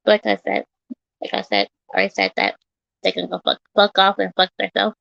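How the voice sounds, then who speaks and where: A woman in her thirties, a little hoarse from yelling, talking bluntly and repetitively on a casual livestream. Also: medium pitch, steady tone, brisk, no echo, lo-fi sound. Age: 30s. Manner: repetitive, blunt, swearing, rambling.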